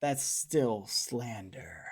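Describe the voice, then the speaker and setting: A man's voice: mid-range voice, animated, drawn-out words, gravelly, slightly rough. A man live streaming, chatting casually with his viewers in a rambling, playful, mock-indignant way. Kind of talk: casual chat with stream viewers while gaming.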